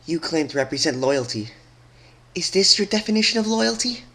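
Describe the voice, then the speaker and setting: A man's voice: on the high side, lively intonation, normal speed, pushed and bright, voice pushed up, full character voice. A man voicing a wrathful, regal female pony princess who scolds in a commanding, accusatory way in a dramatic reading. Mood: bitter reproach. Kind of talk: dramatic fan-fiction reading.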